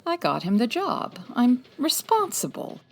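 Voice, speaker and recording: A woman playing a wry, quick-witted 1940s private detective in a comedy audio drama, with an arch, teasing manner. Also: fairly high pitch, wildly swooping pitch, a little mellow, full character voice. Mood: coy, teasing. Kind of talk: comedy audio drama acting, old-time radio detective.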